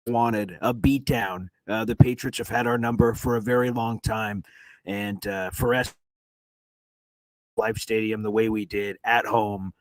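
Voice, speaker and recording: A hoarse man, his voice worn thin from screaming, hosts a live reaction stream. He rambles excitedly with lots of "uh"s, in a lowish voice. The sound is dry and close, with no room echo. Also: a little slow. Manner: excited, rambling, with frequent "uh" fillers and restarts. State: hoarse, voice nearly gone from screaming. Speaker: sports reporter and livestream host. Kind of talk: live sports reaction livestream. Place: hotel room. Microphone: streaming setup on weak hotel wifi.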